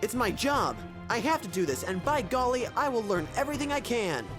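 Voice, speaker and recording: Woman playing young male reporter, wholesome, gee-whiz, mid-range, very animated, retro radio drama. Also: fairly high pitch, brisk, music in the background. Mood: determined, urgent. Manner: wholesome, eager, old-fashioned. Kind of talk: retro radio-serial acting.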